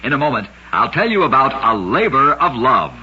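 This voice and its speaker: Animated bright-voiced man, vintage radio storyteller. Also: medium pitch, moderate pace, highs cut off. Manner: dramatic, emphatic storytelling delivery. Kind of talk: scripted narration of short oddity stories, vintage radio. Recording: noisy, band-limited vintage radio recording.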